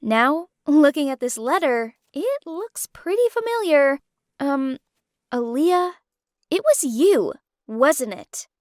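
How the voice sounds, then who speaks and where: A woman's voice: high voice, big pitch swings, takes it slowly, dry recording, studio quality, heavily acted. A woman voicing a young woman who speaks hesitantly, then insists on her point.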